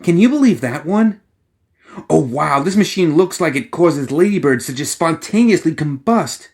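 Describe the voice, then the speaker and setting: A man voicing a cocky, quirky young gunslinger woman from an audiobook, who chatters in teasing, excitable exclamations. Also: on the high side, voice pushed up. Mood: excited. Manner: rapid-fire, teasing, exclamatory commentary.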